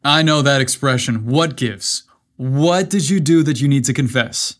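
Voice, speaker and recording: A man's voice: medium pitch, moderate pace, a bit bright, close to an everyday voice. A man voicing a loyal, earnest young best friend with a casual manner in a narrated story. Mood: suspicious.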